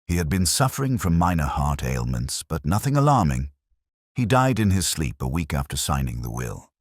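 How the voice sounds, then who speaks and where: A man's voice: rumbling low, very animated, moderate pace, no room sound, clean studio sound, exaggerated voice. A man voicing an anxious, polite Victorian private secretary in an audiobook, speaking formally and precisely. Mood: careful.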